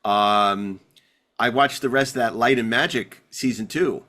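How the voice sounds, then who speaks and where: A man talks as a podcast host in loose, casual conversation, at a middling pitch. He rambles with some excitement, often doubling back and repeating words as he builds his point. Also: a little slow. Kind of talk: casual chat about sci-fi television.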